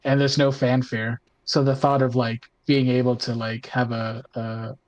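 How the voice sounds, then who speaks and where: A man's voice: mid-range voice, level tone, unhurried. A man in casual roundtable conversation, informal and a little tentative, checking in as he goes. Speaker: podcast regular, tabletop role-playing player.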